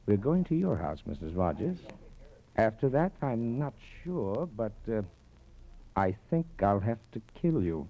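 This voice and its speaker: An actor with an American accent playing a menacing, terse killer who is coolly polite, in curt, hesitant 1940s radio drama style. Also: male voice, lowish voice, big pitch swings, measured pace, exaggerated voice. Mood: mockingly polite. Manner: curt, hesitant, quietly threatening. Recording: dull 1940s radio disc transfer.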